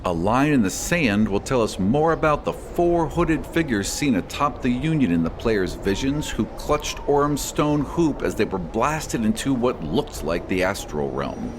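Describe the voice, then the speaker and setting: A man's voice: medium pitch, very animated, a little slow, few pauses, music in the background. A man speaking as a podcast host and game master, delivering a scripted announcement.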